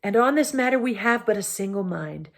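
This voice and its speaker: A woman's voice: medium pitch, lively intonation, brisk, voice pushed up. A woman voicing a tiny, proud male mouse knight who speaks shrilly and with courtly formality. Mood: dignified. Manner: courtly, formal, touchy about honor.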